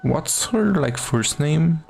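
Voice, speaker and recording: A game streamer reacting casually off the cuff, in halting asides. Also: mid-range voice, expressive, gravelly, a little mellow, soft background music.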